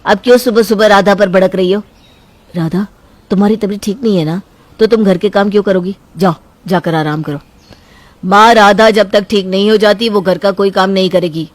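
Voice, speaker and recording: A gentle young husband from a cartoon family story, played by a woman. He reasons calmly and tries to settle arguments. The sound is close and dry. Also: medium pitch, moderate pace, no room sound, close to an everyday voice. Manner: reasoning, soothing. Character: young husband, mild and devoted to his mother.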